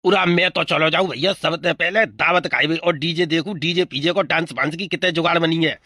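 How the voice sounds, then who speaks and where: A man's voice: fairly high pitch, fairly quick, pushed and bright, slight background noise. A man voicing a cheeky, lecherous cartoon crow at a wedding, eager and scheming, with a rustic western Uttar Pradesh accent. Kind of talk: comic cartoon dub.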